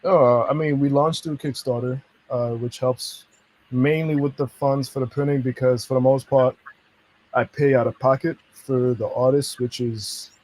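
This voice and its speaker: A man speaking as a podcast guest, his voice mellow and soft-edged. He chats in a friendly, personable way and laughs easily while talking about his work. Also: medium pitch, steady tone, normal speed, poor audio. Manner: friendly, easygoing, laughs often. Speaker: podcast guest, comic creator. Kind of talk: casual podcast chat.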